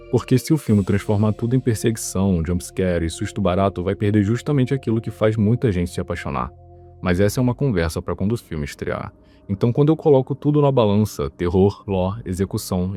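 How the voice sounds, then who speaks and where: A Brazilian man hosting a video essay, narrating from a script in a measured, explanatory way and giving his opinions. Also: lowish voice, dark tone. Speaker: video host presenting a ranking. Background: background music.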